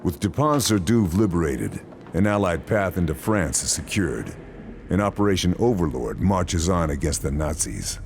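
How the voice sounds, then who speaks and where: A man's voice: deep voice, big pitch swings, moderate pace, a little mellow, slight background noise. A man playing a stoic Allied sniper in a war video game, terse and grave as he narrates his missions. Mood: grave, determined. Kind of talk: scripted cinematic mission narration.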